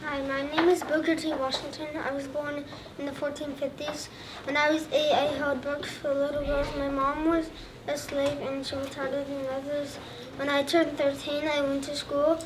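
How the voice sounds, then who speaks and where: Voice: high voice, level tone, moderate pace. A child of about eight or nine reciting a first-person school presentation as a historical figure. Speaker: third grade student presenter. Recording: distant mic, loud room noise.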